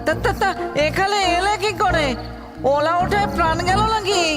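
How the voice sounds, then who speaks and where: A man's voice: extremely high pitch, normal speed. A man playing a lovesick, henpecked male ghost who pleads and wheedles in a theatrical comic audio drama. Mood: startled, stammering. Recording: long hall reverb, music underneath.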